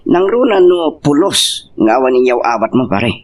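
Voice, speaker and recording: A man's voice: medium pitch, very animated, normal speed, recorded from a distance. A man playing a nosy, scolding buddy in an audio drama, probing and exclamatory. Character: male buddy, nosy, scolding, excitable confidant. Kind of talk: Ilocano radio-style drama acting.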